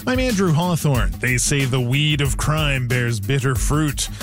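A man's voice: medium pitch, expressive, a little slow. A man hosting radio, reading a scripted, tongue-in-cheek promo. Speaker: radio show host. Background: prominent music.

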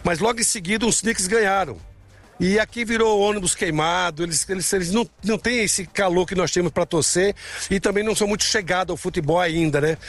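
A man's voice: high-pitched, fairly quick, soft background music. A man from Brazil interviewed in the street outdoors, talkative, often repeating words as he starts. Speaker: interviewed fan. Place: outdoors on a busy city square.

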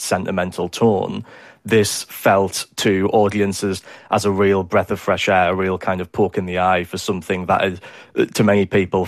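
A man's voice: deep voice, dry recording, clean studio sound. A man with a British accent giving explanatory answers as an academic guest in a radio interview. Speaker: animation historian, interview guest.

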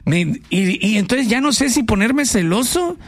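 A Mexican man hosting a comedy radio show, joking and teasing in quick banter. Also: very high-pitched, lively intonation, fairly bright tone. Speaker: radio host.

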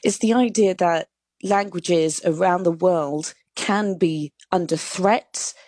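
Young uk woman, author on a live call, low voice, dry, restarts a lot. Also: takes it slowly, darkish tone, no room sound. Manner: explanatory, with repeated words and restarts. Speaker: author guest. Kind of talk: live interview on a phone line.

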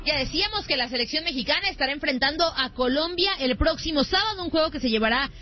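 A woman's voice: high-pitched, expressive, fast talker. A woman presenting sports news in a formal, scripted delivery. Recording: dull lo-fi sound with faint music.

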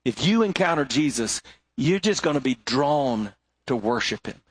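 A middle-aged male pastor preaching a sermon, swinging between rising emphasis and hushed, low moments. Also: expressive, moderate pace.

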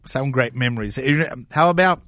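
A man's voice: medium pitch, brisk, a bit bright, no room sound, clean studio sound. A man of forty chatting casually as a radio host, good-humoured and playful, switching between English and Italian.

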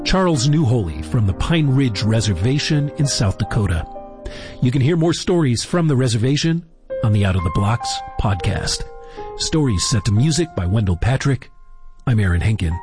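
A man hosting a podcast, reading a calm, scripted outro. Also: mid-range voice, moderate pace, music in the background. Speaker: podcast host.

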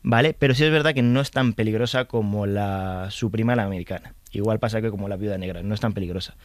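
A man's voice: lowish voice, fast, no room sound, clean studio sound. A man with a Spanish accent from Spain, a guest expert explaining things informally in conversation.

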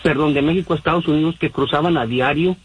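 A man's voice: fairly high pitch, quick pace, breathy edge, narrow, tinny audio. A man from Mexico, calling in to a radio show and talking in a rambling way with many fillers. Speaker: caller. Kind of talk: phone-in radio call. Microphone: phone line.

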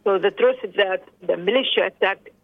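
A middle-aged woman talks as a guest over the phone, hesitant and full of fillers. Her voice is gravelly and sits at a middle pitch. The line is tinny and dry. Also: brisk, no echo. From Sudan. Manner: hesitant, many fillers and restarts. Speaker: interview guest. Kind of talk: phone interview.

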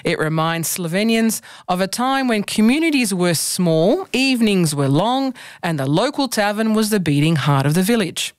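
A woman co-hosting community radio, reading a scripted word-of-the-week segment in a measured, unhurried way. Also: medium pitch, expressive, no echo, clean studio sound. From Australia.

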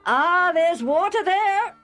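Eager storybook herdsman, calling out in a high, excited voice performed by a woman. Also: slow, soft background music, heavily acted. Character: eager male herdsman servant announcing good news. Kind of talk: dramatised Bible storytelling.

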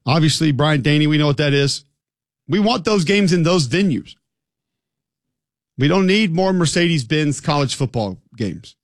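A man's voice: a little high, animated, moderate pace, no room sound, clean studio sound. A man hosting a sports talk show, speaking conversationally in an opinionated, emphatic way and throwing out rhetorical questions.

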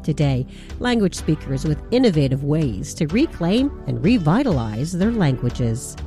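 A woman hosting a radio show, narrating a scripted feature in a measured, deliberate way. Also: sits low, big pitch swings, mellow, faint music behind.

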